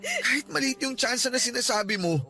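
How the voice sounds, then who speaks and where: A man's voice: extremely high pitch, lively intonation, fairly quick, sharp tone, full character voice. A man voicing a devoted young boyfriend who earnestly comforts and pleads with his sick partner in a radio drama. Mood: desperate pleading.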